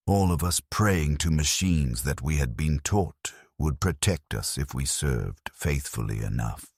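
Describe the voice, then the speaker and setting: Very deep, slow male story narrator. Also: a little mellow, breathy edge, no room sound, clean studio sound. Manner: grave, solemn. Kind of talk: cinematic first-person scripted narration.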